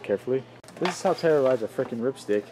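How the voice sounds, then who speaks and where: A man's voice: medium pitch, lively intonation, fast talker, noisy background. A young man chatting playfully as he narrates his day for a vlog, filmed on a handheld camera in a car. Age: young adult. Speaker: vlogger. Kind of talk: casual vlog chat.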